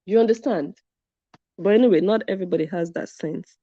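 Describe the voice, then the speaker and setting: A woman talks through a live stream, reading viewers' comments and driving her points home by saying them twice. Her voice sits a little low and sounds warm and mellow. The recording is dry and a bit dull, with a lo-fi edge. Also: fairly quick, no room sound. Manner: emphatic, repeats points for stress. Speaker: commentary channel host.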